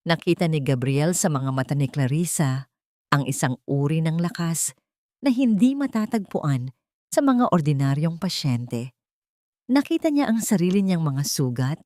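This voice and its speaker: A woman's voice: sits low, animated, measured pace, darkish tone, no room sound, clean studio sound. A woman delivering scripted, dramatic narration as the storyteller of a romantic melodrama.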